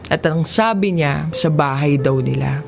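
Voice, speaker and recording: Filipino narrator for confessional drama audiobook: unhurried delivery, mid-range voice, clear musical bed underneath. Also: medium pitch. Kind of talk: scripted first-person drama audiobook narration. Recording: music behind the voice. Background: background music.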